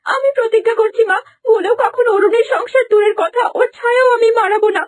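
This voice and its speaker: A woman's voice: very high-pitched, fast. A woman voicing a helpless young stepdaughter in a cartoon fairy tale, wailing and begging. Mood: pleading, tearful. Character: helpless, pleading young stepdaughter, desperate and submissive.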